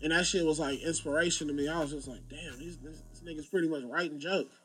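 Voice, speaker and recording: A man's voice: on the high side, steady tone, fast talker, fairly bright tone, faint music behind, poor audio. A man, a comedian telling stories in a laid-back, slangy, joking way. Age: late 20s. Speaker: stand-up comedian guest. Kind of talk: casual interview chat.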